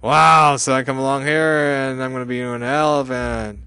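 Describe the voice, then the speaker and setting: Male software instructor, mid-pitched, chatty and informal, quizzing as he demonstrates step by step. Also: fairly even intonation, normal speed, clean tone, no room sound. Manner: chatty, asking and answering his own questions. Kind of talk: live software tutorial to a class.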